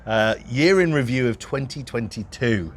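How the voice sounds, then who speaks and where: A man's voice: medium pitch, lively intonation, normal speed. A man co-hosting a property podcast in casual, unscripted chat, talking in a rambling, self-deprecating way. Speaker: podcast co-host, real estate broker.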